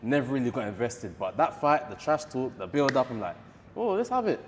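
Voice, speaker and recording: A man's voice: medium pitch, very animated, a little fast, big-room sound, slight background noise. A man in his twenties with an East London accent, chatting casually as a podcast guest, laid-back and chatty, often adding "you know what I mean". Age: 20s. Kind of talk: casual chat. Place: boxing gym.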